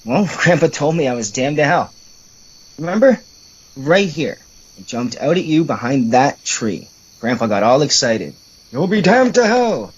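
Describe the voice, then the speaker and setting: Casting: male actor as sarcastic, complaining brother for horror audio drama, very lively pitch swings. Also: measured pace, breathy edge, dull audio, full character voice. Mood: teasing, mock spooky. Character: teasing, grumbling adult brother, sarcastic and irreverent.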